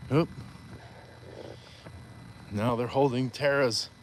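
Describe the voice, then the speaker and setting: A man's voice: mid-range voice, steady tone, slow pace, a little hiss or hum. A man hosting a live stream while walking outdoors, chatting casually and reading viewer comments aloud with playful asides. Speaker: livestream host.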